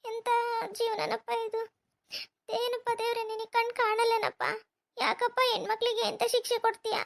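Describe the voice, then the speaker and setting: A fretful cartoon mother, voiced by a woman, scolds and pleads with her son in a very high, shrill voice. Her tone swings between nagging and lament. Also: completely flat delivery, moderate pace, creaky, a bit bright, exaggerated voice. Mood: tearful lament. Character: worried middle-aged mother scolding her grown son. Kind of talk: home-made cartoon voice acting.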